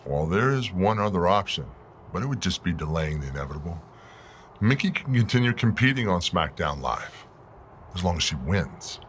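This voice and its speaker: A man playing a businesslike wrestling general manager, measured, official and unyielding. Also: sits low, very animated, a bit bright, a little hiss or hum. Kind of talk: scripted game cutscene dialogue.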